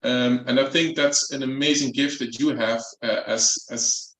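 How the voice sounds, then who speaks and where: A man with a Dutch accent speaks at a mid pitch. He talks in a relaxed, chatty way with light jokes. The sound is echoey, as in a hall. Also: a little slow. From Netherlands. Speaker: company executive. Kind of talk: formal speech at a launch event. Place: event room.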